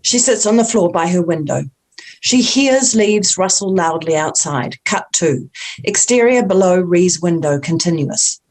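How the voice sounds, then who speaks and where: A woman's voice: lowish voice, animated, a little slow, darkish tone. A woman reading the stage directions at a script table read.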